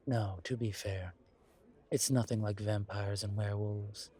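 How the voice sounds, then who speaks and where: Slow, courtly vampire gentleman, acted by a man. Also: on the low side, fairly even intonation, husky. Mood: wry, amused. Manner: courtly, deliberate, pausing, sighing between phrases. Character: genteel, world-weary, wary old vampire survivor. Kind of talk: slow audio roleplay acting, addressed to listener.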